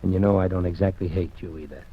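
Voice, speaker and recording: An actor playing a firm, steady police detective in a 1940s radio drama. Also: male voice, low-pitched, fast, slightly rough. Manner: firm, clipped, calls others kid. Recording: dull old radio broadcast.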